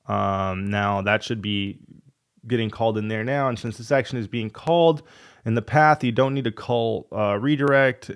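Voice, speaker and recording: A man with a cold and a fading voice, talking casually through a coding walkthrough and muttering in frustration as he debugs. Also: fairly low pitch. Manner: thinks aloud, frustrated muttering while debugging. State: losing his voice, has a cold. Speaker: coding tutorial host.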